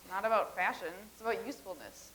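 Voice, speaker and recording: A woman's voice: mid-range voice, expressive, fast, fairly bright tone, lightly acted, poor audio. Woman reading an earnest future rebel lady, formal and serious, a bit of hiss. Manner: earnest, formal and matter-of-fact. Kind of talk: staged reading.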